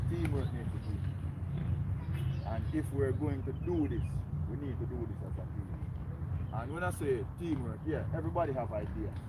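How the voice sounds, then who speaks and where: A young man with a Jamaican accent and a strong voice gives an earnest, rallying speech to an outdoor community meeting, often tagging his points with "right?". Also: mid-range voice. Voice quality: strong, loud voice. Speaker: community organizer. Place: outdoors by a road. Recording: loud traffic noise behind. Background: passing cars.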